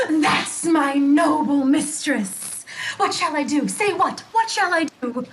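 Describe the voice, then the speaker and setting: An actress playing an eager, obedient, playful servant spirit in a Shakespearean audio drama. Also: female voice, extremely high pitch, big pitch swings, slow pace, a bit bright, full character voice. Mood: eager, deferential. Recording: noisy remote home recording.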